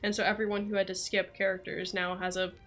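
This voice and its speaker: A woman game streamer chatting casually with viewers, rambling and thinking out loud. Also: medium pitch, little pitch movement, fast, soft background music.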